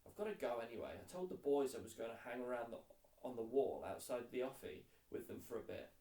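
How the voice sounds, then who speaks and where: A streetwise, unsentimental older brother, voiced by a man reading aloud brushes things off in slangy storybook dialogue. His voice is creaky, with a roomy echo. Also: mid-range voice, expressive, fast talker, mellow, natural voice. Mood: dismissive. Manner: slangy, dismissive. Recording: roomy echo, distant mic.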